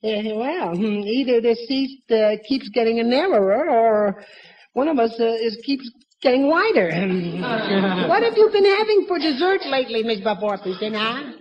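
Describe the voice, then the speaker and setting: A man's voice: very high voice, animated, measured pace, highs cut off. An actor playing a good-natured, hapless husband and father in a 1940s radio sitcom, stammering and giggling as he talks. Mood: sheepish, giggly. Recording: noisy 1940s radio, studio audience behind.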